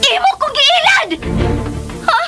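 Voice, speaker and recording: An actress playing a furious, demanding jilted mistress with a shrill voice in a melodramatic radio drama. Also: female voice, lively intonation, takes it slowly, over loud music, exaggerated voice.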